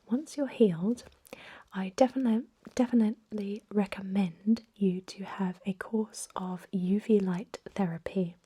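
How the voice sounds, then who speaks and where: A woman acts out an ancient female vampire clinician in a whispered ASMR role-play. Her voice is soft, mellow and very breathy. She explains things slowly and gently, with courteous, old-fashioned reassurance. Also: mid-range voice. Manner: gentle, unhurried, hesitant explaining.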